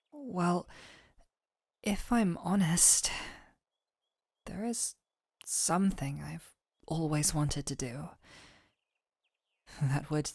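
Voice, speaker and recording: A gentle fantasy doctor voiced by a woman in an intimate audio roleplay. She speaks very slowly in a slightly low, soft voice that slips toward breathy near-whispers. Her manner is affectionate and teasing, and the sound is close and dry. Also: no echo, heavily acted.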